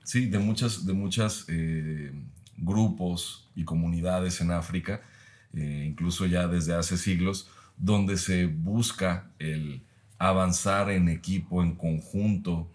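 Deep-voiced thoughtful man in his thirties. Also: fairly even intonation, a little mellow. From Mexico. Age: 30s. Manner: measured, thoughtful, with frequent "eh" pauses. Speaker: guest, university professor. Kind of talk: reflective podcast conversation.

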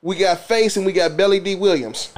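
A man's voice: sits high, a little fast, background noise. A man co-hosting a homemade radio show in loose, profane banter, calling friends on the air. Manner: loose, swearing, laughing, calls friends on air.

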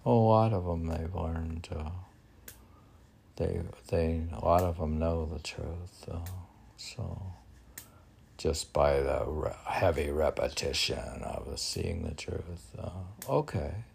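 Low gravelly man musing aloud slowly. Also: darkish tone. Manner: rambling, answers himself in a second voice, chuckles. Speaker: poet reading and discussing his own poems. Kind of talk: casual self-questioning poetry reading.

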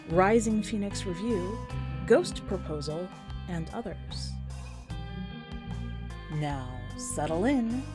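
A woman hosting a podcast and reading a first-person short story in a wry, conversational and emphatic way. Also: mid-range voice. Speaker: podcast host and story reader.

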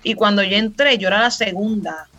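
A woman's voice: medium pitch, brisk. A Puerto Rican woman in her thirties, a podcast guest chatting casually and at length, with many fillers. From Puerto Rico. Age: 30s. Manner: chatty and relaxed, rambling with fillers. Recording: slightly muffled, faint music behind.

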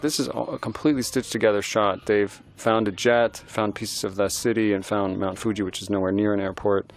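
A middle-aged man, a film director, giving an admiring, halting film commentary. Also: on the low side, fairly even intonation. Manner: thoughtful, admiring, halting restarts.

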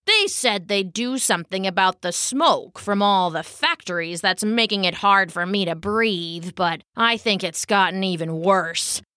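A woman voicing a bustling bumblebee in a storybook, slow and a little weary, with buzzing sounds. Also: mid-range voice, bright, forceful tone, dry recording, studio quality. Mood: friendly. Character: bustling bumblebee troubled by factory smoke.

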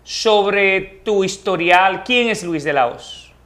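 A man's voice: high-pitched, slow, fairly bright tone. A man asking questions as an interviewer in a friendly conversational exchange.

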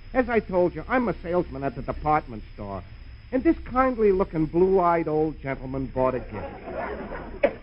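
A man's voice: a little high, slight background noise. An actor playing a nerve-shattered, agitated department store salesman in a 1950s radio comedy. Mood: anxious, exasperated. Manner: agitated, building into hysterical shouting. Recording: dull lo-fi old radio broadcast.

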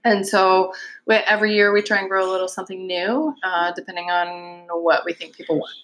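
A woman's voice: medium pitch, fairly even intonation, fairly quick, a bit bright, faint music behind, low-quality recording. Chatty woman farmer from Virginia. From Virginia, USA. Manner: friendly, chatty, many ums and restarts. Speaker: guest, vegetable farmer. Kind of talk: casual interview chat.

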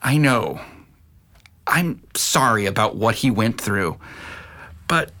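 A man's voice: fairly low pitch, expressive, takes it slowly, bright, forceful tone, full character voice. An actor playing a reasonable, skeptical middle-aged husband and father figure in an audio drama. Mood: doubtful.

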